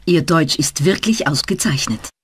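A woman's voice: sits low, animated, breathy edge, no room sound, heavily acted. A woman playing a friendly travel agency employee answering the phone in a scripted textbook dialogue. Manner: brisk, friendly phone manner. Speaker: office employee.

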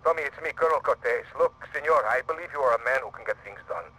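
An actor playing a scheming colonel in a crime game, formal, florid and persuasive in manner. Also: male voice, medium pitch, very fast, very noisy, lightly acted. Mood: pleading. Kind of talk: video game cutscene acting.

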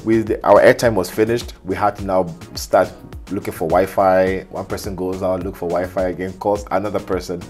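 A man speaks in a very low voice as an interview guest. He tells personal stories expressively, acting out the exchanges. Music plays faintly behind him. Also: normal speed. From Ghana, long life in Kenya. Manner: expressive, acts out quoted exchanges, laughs while talking. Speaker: podcast guest, cyber security specialist. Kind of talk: animated personal storytelling in an interview.

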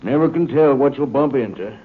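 An actor in a 1950s radio drama playing a folksy rancher who is secretly a cold alien pilot, with a drawling country way of talking. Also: male voice, mid-range voice, animated, close to an everyday voice. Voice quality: drawling country speech, turning cool and measured. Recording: tinny old radio broadcast with hiss.

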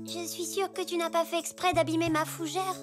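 Tiny-voiced, very high little zebra girl. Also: little pitch movement, a little fast, music in the background. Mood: disappointed, polite. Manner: childlike, polite. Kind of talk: French children's cartoon acting.

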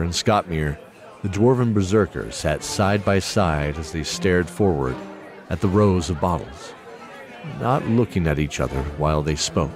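A man narrating a scripted fantasy story as the storyteller of an audio drama. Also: low-pitched. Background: music.

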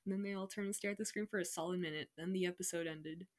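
A woman's voice: fairly low pitch, steady tone, racing, background noise. A young adult woman casually reading her own story aloud with self-mocking, incredulous commentary. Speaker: online reader of own stories.

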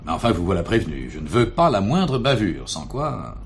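Deep, formal police director. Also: male voice, big pitch swings, moderate pace, slight background noise. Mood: stern, cautious. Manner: formal, admonishing. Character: cautious, political police director. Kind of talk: French radio drama acting.

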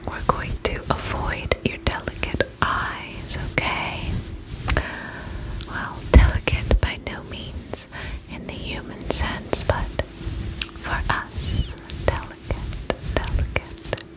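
A woman playing a soothing, attentive vampire spa beautician in an ASMR roleplay, whispering gently and reassuringly in a breathy voice. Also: lightly acted, rough recording. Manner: gentle, reassuring, slow and unhurried.

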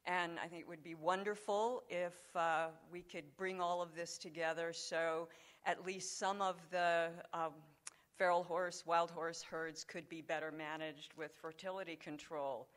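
An older woman gives a scientific talk at a conference podium, speaking through a handheld microphone. Her delivery is measured and conversational, with the odd filler. The sound comes from a large meeting hall with an audience. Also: fairly low pitch, fairly even intonation, a bit bright.

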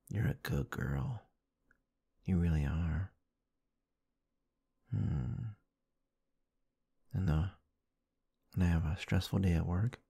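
A man doing intimate roleplay ASMR, murmuring softly and affectionately in a soothing, breathy way close to the microphone. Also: low-pitched, dry recording. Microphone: close-up microphone, dry.

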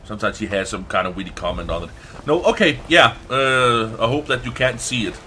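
Non-native english guy doing a casual let's play, bright voice, kinda halting, some noise behind him. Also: on the low side, expressive. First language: not English. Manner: halting, searching for words, swearing casually. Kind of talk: casual gameplay commentary.

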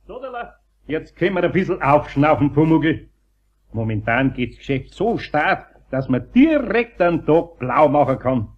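A patient older Bavarian carpenter in a children's radio play. His voice is husky and fairly high with lively intonation, folksy and good-natured. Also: moderate pace, lo-fi sound. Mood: easygoing.

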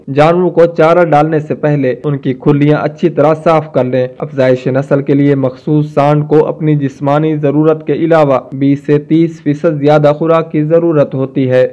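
A Pakistani man narrates instructional material in a formal, scripted way. His voice sits a little high for a man and has a soft, mellow tone. The recording is slightly dull and lo-fi. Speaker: narrator of farming advice. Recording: dull, muffled lo-fi voice-over.

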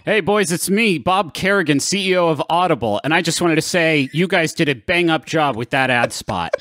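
A man's voice: fairly high pitch, expressive, moderate pace, a bit bright, soft background music. A man playing a chummy, eager chief executive in an improvised comedy bit, folksy, earnest and over-enthusiastic. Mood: chummy, pleased.

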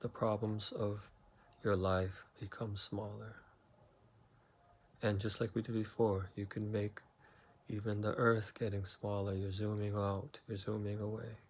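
Slow-paced man guiding a meditation. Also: fairly low pitch, flat delivery, husky. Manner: slow, soothing instruction with long pauses. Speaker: meditation guide. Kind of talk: guided meditation.

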